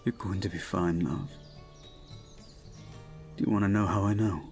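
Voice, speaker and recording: A man playing a devoted, protective young prince in an intimate audio roleplay, speaking gently and somewhat formally to his betrothed. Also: sits low, fairly even intonation, soft background music. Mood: tender, reassuring. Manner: gentle, soothing, measured, slightly formal phrasing.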